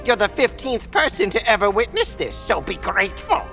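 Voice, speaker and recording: A woman's voice: medium pitch, sing-song, moderate pace, creaky, fairly bright tone, soft background music. A woman playing a pompous, theatrical assassin sibling in a comedic anime parody. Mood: haughty, dramatic.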